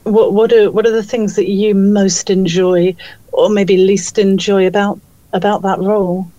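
Dry, mellow woman interviewer. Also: medium pitch, fairly even intonation, unhurried, no room sound, lo-fi sound. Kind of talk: casual chat.

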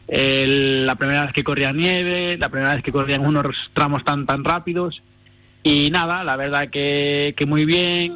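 Young man, from Spain, rally driver, relaxed fillers, fairly high voice, dry sound. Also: no room sound. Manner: relaxed, laughing, many fillers and repeats. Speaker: rally driver, interview guest. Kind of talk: phone interview. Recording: dry phone line.

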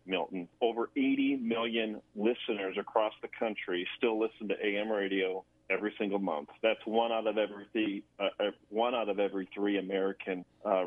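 Over a narrow telephone line comes a man with a lowish voice. He is a radio interview guest who explains things haltingly, often repeating words. The sound is thin and dry. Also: no echo. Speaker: guest, government affairs director. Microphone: phone call.

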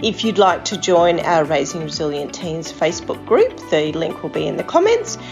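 Woman podcast host, casual, lively swooping delivery. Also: low voice, normal speed, over music. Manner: enthusiastic, gushing, casual. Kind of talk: interview conversation.

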